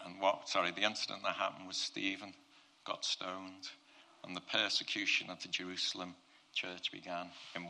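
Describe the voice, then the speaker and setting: A male preacher with a croaky, gravelly voice that has a bright, sharp edge. His pitch sits low and stays flat, with little rise or fall. He preaches earnestly and often circles back to restart his phrases. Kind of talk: prayer and sermon to a congregation.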